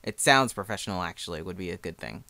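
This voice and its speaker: A man's voice: low-pitched, animated, quick pace, pushed and bright, no echo. A young man giving a casual, informal tutorial as an amateur, talking in a self-deprecating way. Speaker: amateur fan dub creator giving a tutorial.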